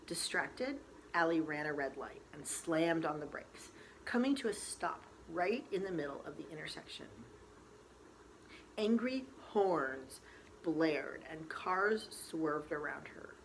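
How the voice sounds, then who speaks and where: A woman storyteller reading a novel aloud in expressive, dramatic narration with deliberate emphasis. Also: sits low, gravelly, rough recording. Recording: faint background noise.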